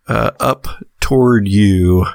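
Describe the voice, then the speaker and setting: A man working as game master, narrating a horror tabletop game in a halting way, searching for words. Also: lowish voice, vocal fry, a little mellow, dry recording.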